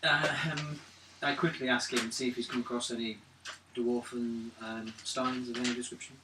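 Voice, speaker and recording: A man's voice: mid-range voice, little pitch movement, moderate pace. Distant man at noisy game table. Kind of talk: casual table chat. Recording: noisy, echoey, far-off tabletop recording.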